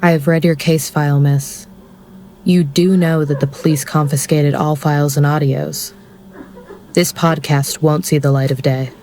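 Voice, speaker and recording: An actor playing a curt, by-the-book executioner in an audio drama, formal and deadpan. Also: medium pitch, a little slow, natural voice. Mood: impatient.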